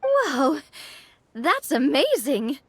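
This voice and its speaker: A woman's voice: high voice, very animated, drawn-out words, a little hiss or hum, full character voice. An actress playing a cheerful, quirky young female adventurer, bubbly and theatrical, in a fantasy game.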